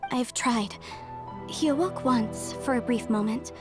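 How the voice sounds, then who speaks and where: A woman playing a gentle nursing sister in a video game, polite and plain-spoken. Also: a little high, unhurried, lightly acted. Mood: earnest. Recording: music playing behind the voice.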